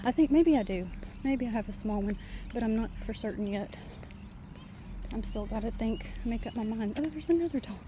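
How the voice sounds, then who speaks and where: A soft-spoken woman with a quiet voice, chatting casually to her viewers while walking outdoors in a park. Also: fairly high pitch, animated, normal speed, noisy background. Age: adult with a son. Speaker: vlogger. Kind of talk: casual walking vlog chat.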